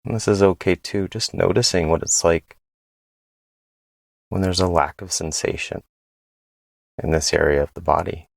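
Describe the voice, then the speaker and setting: A man leading a guided body scan meditation in a gentle, unhurried way, pausing between phrases. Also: sits low, steady tone, creaky, dry recording.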